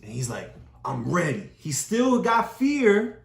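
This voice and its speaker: A woman with a notably low voice talks a child through a picture book, patiently explaining and circling back to the same phrases. The room around her is echoey. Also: sing-song, takes it slowly, creaky. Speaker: parent reading with a child.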